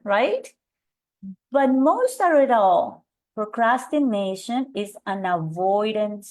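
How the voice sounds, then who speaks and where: A middle-aged woman from Colombia with a Colombian Spanish accent, presenting an energetic live webinar and calling out audience members by name. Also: a little high. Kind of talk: live webinar coaching talk.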